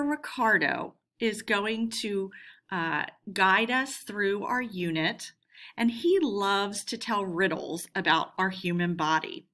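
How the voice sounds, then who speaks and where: Lady teacher doing a read aloud for kids, takes it slow, voice goes up and down a lot. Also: mid-range voice, fairly bright tone. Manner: clear, instructional, addressing pupils. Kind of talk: read-aloud lesson for children.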